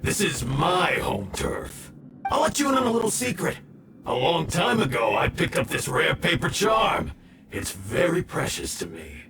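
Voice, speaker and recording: A sly, volatile bandit boss from a video game, high for a male voice and creaky. He taunts theatrically and draws out his threats. The voice sounds echoey over prominent music. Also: huge pitch swings, a little slow, noisy background, exaggerated voice. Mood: gloating, menacing. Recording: echoey, distant, loud music bed.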